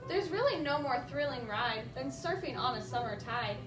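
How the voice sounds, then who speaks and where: A woman reading a rhyming picture book aloud as a children's librarian, expressive and speaking directly to young listeners with little asides. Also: high-pitched, normal speed. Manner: expressive, addresses children directly with simple questions. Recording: roomy echo, distant mic, music behind.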